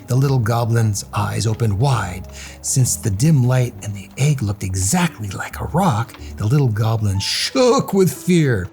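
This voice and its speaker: A man telling a children's story aloud in an animated read-aloud style. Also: mid-range voice, measured pace, vocal fry, faint music behind.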